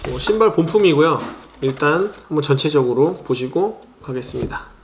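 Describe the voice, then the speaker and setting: Man, young adult, sneaker reviewer, measured and explanatory, hedges opinions, slightly high pitch. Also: slight background noise. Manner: calm, explanatory, hedging opinions; handling items while describing them. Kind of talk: product review vlog.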